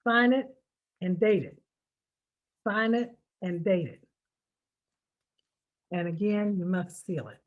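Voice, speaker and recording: A woman's voice: mid-range voice, slow, pauses often, phone-quality sound. An American woman giving informal, step-by-step public instructions in an emphatic manner, repeating key points to stress them. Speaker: presenter of a voter instruction video.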